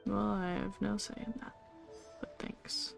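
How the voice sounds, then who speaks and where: A woman's voice: medium pitch, flat delivery, unhurried, vocal fry. A woman doing casual game stream commentary in a dry, offhand way. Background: game music.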